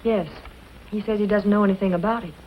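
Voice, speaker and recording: Actress as earnest western lady newcomer, mid-pitched, old film soundtrack with faint hiss. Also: fairly even intonation, fast, muffled recording, lightly acted. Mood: concerned. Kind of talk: 1940s western film acting.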